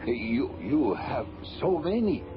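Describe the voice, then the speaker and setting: An actor playing a courteous, wondering alien lord in a radio drama, with halting, formal, measured phrasing. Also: male voice, mid-range voice, sing-song, noisy background. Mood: hesitant, wondering. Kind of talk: old-time radio drama acting. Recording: dull radio recording over music.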